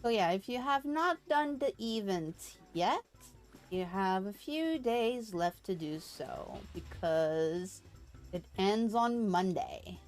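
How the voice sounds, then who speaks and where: A woman's voice: medium pitch, lively intonation, slow, darkish tone. A woman live streamer chatting casually and playfully while she plays a game. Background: game music.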